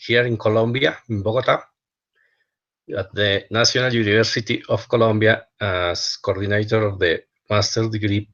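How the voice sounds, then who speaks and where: A man introducing himself as a university program coordinator on a video call, in a formal, measured way. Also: medium pitch, a bit bright. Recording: dull lo-fi call audio.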